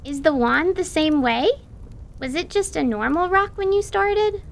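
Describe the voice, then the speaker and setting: Young girl adventurer, played by a woman: curious, chatty, very high pitch, some fry, fantasy radio play. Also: animated, measured pace, exaggerated voice. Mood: inquisitive. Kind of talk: dramatized tabletop audio drama.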